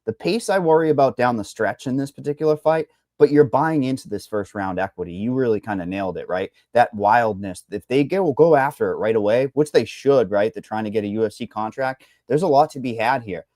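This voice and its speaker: A man's voice: mid-range voice, fairly quick, few pauses, a little mellow, no room sound. A man with a thick Boston accent breaks down fight odds in a loose, rambling way, dropping blunt asides as he goes. The sound is dry and close, with no room around it. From Boston, Massachusetts. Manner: rambling, hedging, salty asides. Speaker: MMA betting analyst. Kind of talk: casual betting chat.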